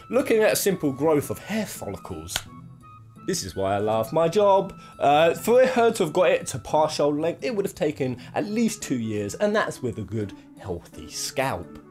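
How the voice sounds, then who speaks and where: A man's voice: fairly high pitch, darkish tone. A man hosting a casual, jokey commentary, talking in playful, florid exaggeration with crude swearing. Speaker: video host.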